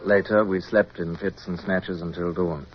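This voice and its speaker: Male, commanding naval officer played by an actor, lowish voice, formal and crisp, dull vintage radio sound. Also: steady tone, moderate pace, soft background music, close to an everyday voice. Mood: sombre, tender. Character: resolute British naval officer, commanding yet tender, recounting his war. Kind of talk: radio drama acting and first-person narration. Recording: muffled, noisy old radio recording.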